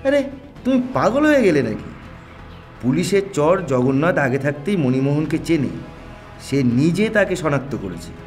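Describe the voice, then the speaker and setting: Man acting high-voiced, sceptical detective's sidekick. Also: very animated, moderate pace, darkish tone, soft background music. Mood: incredulous. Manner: questioning, incredulous. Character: puzzled, sceptical male companion of a detective. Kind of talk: audio story detective drama.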